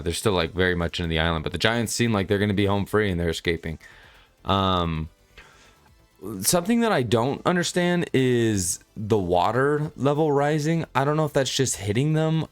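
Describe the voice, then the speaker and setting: Man, lowish pitch, casual reviewer commentary, unscripted, rambling, halting, repetitive phrasing. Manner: rambling, halting, repeats himself. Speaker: manga chapter reviewer.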